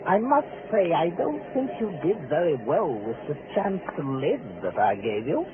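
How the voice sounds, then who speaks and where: An actor playing a mysterious, ominous stranger who is a messenger of death in a 1940s radio drama, deliberate and insinuating in his politeness. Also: fairly high pitch, big pitch swings, narrow, tinny audio. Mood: calmly ominous. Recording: scratchy band-limited 1940s radio recording.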